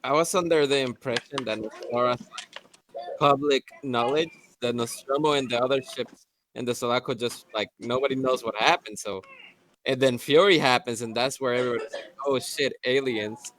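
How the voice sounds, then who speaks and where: A man's voice: mid-range voice, moderate pace, a bit bright, slight background noise, poor audio. A man chatting casually, with some swearing, as a player in a tabletop game.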